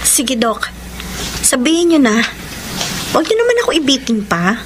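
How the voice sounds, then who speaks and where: A woman's voice: sits high, sing-song, moderate pace, background noise. An actress playing a scheming young mother in a Filipino radio drama, speaking with a Filipino accent. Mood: impatient, anxious. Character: scheming young mother pressing a paternity claim.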